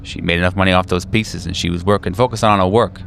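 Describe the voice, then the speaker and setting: A man playing a weary, hardened veteran handler in a tabletop roleplay, talking in a halting, offhand way that trails off. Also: low voice, fairly even intonation, vocal fry, fairly bright tone, a little hiss or hum. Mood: matter-of-fact, halting. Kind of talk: improvised tabletop roleplay dialogue.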